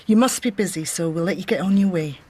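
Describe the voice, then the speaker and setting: A woman's voice: on the low side, quick pace, mellow, husky. A woman playing a guarded young mother in a radio drama, polite but dismissive. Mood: guarded, uneasy.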